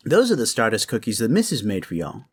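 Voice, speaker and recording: A man plays a kindly, folksy fellow in an audio drama. He coaxes and reassures in a somewhat husky voice with huge swings of pitch. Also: wildly swooping pitch, fast talker, heavily acted. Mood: soothing, coaxing.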